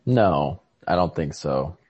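A man in a casual, analytical literary conversation as a podcast host, often halting to search for words. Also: very deep voice, animated, darkish tone, breathy edge, no echo. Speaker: podcast host discussing a short story. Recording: lo-fi podcast recording.

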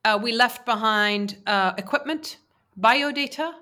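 A woman's voice: a little high, a little slow, sharp tone. A woman whose first language is Persian, answering interview questions earnestly, with many fillers and restarts. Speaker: interviewee, women's peace advocate.

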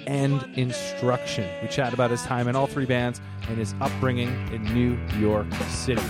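A man reading a podcast's opening announcements in a plain, steady way. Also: medium pitch. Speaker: podcast host. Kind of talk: podcast intro.

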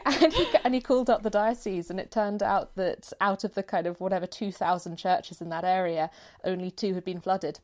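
A woman's voice: medium pitch, brisk, few pauses, a little mellow. A woman speaking enthusiastically and conversationally in a casual discussion.

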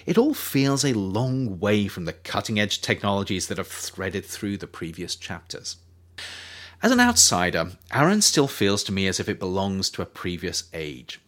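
Low-pitched man narrating with lively intonation. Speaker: author reading own book. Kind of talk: scripted audiobook narration.

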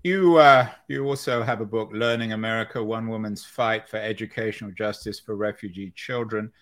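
Halting low-voiced male interview host. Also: steady tone, fairly bright tone. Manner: halting, many uhs and restarts. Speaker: podcast host. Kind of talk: interview introduction and questions.

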